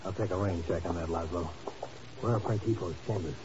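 A cool secret agent, acted by a man, low in pitch and wry, giving clipped orders. It sounds like a muffled, noisy radio thriller from the 1950s. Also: a little fast, close to an everyday voice. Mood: commanding. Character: cool, hard-boiled male secret agent. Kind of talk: 1950s radio drama acting.

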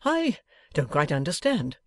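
A man voicing a meek, humbled older husband in a storybook, speaking in a slow, sad, gently pleading manner. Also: fairly high pitch, wildly swooping pitch, no room sound, studio quality, exaggerated voice. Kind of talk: dramatised audiobook character voice.

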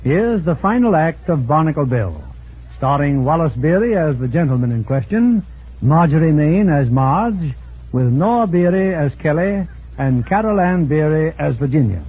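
A man narrates as host in formal 1940s radio style, his pitch slightly high and very varied. The recording is muffled. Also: very animated, normal speed, slightly rough.

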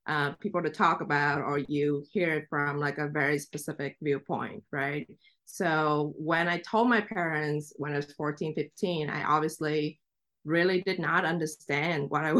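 A woman's voice: sits low, a bit bright. A young woman from Vietnam whose first language is Vietnamese, telling her story in a chatty, reflective way with light laughs. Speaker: guest journalist. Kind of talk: casual interview talk.

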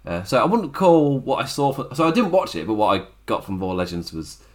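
Raspy, chuckling man reviewing wrestling. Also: mid-range voice, big pitch swings, fairly quick. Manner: rambling, chuckles often, self-correcting. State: raspy, strained voice. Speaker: wrestling show host. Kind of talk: casual podcast review.

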